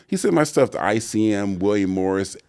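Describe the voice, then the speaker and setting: A middle-aged man, an actor and voice actor, telling stories in an interview with a deep, resonant voice and acted-out quotes. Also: animated, a little fast, dark tone, clean tone, dry recording. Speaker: actor and voice actor guest. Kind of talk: storytelling interview answers.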